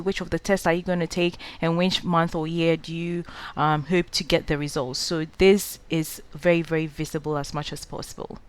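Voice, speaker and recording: Young Ghanaian-raised woman, lowish smooth voice, hesitant tutorial delivery. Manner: hesitant, many "um" and "uh" fillers, advising directly. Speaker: scholarship guide host. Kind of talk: screen-recorded walkthrough tutorial.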